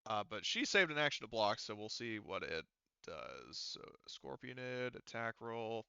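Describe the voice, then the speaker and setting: A man running a tabletop roleplaying game as game master, casual and sweary, halting as he mutters through the rules. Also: on the low side, very animated, gravelly, pushed and bright, highs cut off, a little hiss or hum. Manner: halting, muttering to himself while checking rules.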